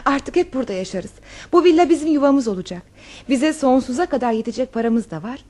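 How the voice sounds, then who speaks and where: A high-pitched countess in a radio play. She is passionate and determined, speaking with emotive, affectionate pleading to the man she loves. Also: lively intonation, fast talker, a little mellow. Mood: tender, hopeful. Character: passionate countess awaiting a child with her lover, hopeful, determined. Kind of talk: radio theatre acting.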